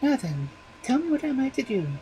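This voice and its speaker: A storyteller voicing a clever baby boy magician in a very high, quick, bossy voice. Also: big pitch swings, dark tone, slight background noise, poor audio. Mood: commanding.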